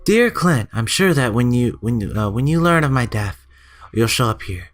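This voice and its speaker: A man's voice: mid-range voice, big pitch swings, normal speed, faint music behind. A man giving casual, chatty let's play commentary and reading game text aloud, stumbling now and then. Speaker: gaming channel host.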